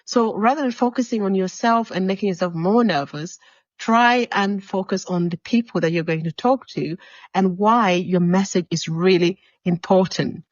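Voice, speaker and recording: A woman's voice: mid-range voice, moderate pace, no room sound, highs cut off. A woman from Uganda who works as a motivational speaker and coach, giving a live-streamed talk in a conversational, encouraging way and speaking straight to her listeners. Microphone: video call or livestream mic.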